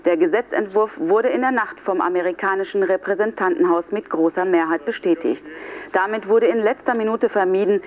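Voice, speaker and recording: A woman's voice: mid-range voice, a little mellow, a little hiss or hum. Woman reading radio news, dull recording. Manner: formal, factual delivery. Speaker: radio newsreader.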